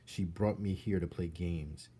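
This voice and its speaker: A man's voice: deep voice, fairly even intonation, unhurried, dark tone, husky. A man voicing a brave, stubborn, curious young girl in a storybook, plain-spoken and questioning. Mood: determined.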